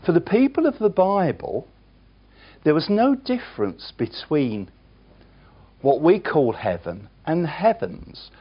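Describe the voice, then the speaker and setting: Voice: medium pitch, husky, muffled recording. A preacher delivering a sermon, emphatic and earnest, with chatty, self-deprecating asides to the congregation.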